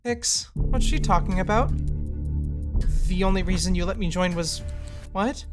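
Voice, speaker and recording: A woman's voice: medium pitch, measured pace, over music, close to an everyday voice. A woman playing an anxious, hurt young witch in an audio drama, questioning and insistent. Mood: confused, hurt.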